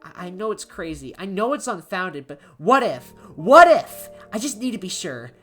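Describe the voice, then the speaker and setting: A woman's voice: medium pitch, very animated, moderate pace, a bit bright, soft background music, voice pushed up. A woman voicing a paranoid, lonely man writing a journal in a horror story, stammering and anxious in a confessional way. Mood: frantic. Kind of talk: first-person horror story reading.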